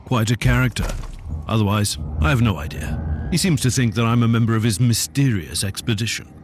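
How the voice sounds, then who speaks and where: An actor playing a stern, self-assured inquisitor in a sci-fi video game, with a measured, dry and authoritative delivery. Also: male voice, mid-range voice, noisy background, natural voice. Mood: dry, composed. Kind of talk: dramatic video game voice acting.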